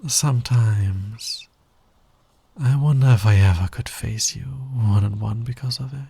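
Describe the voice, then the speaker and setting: A man playing a sly, teasing, dominant fantasy villain in an intimate ASMR roleplay, breathy and husky, often near a whisper. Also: medium pitch, mellow, full character voice. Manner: slow, teasing, deliberate delivery. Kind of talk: intimate ASMR roleplay, romantic audio drama.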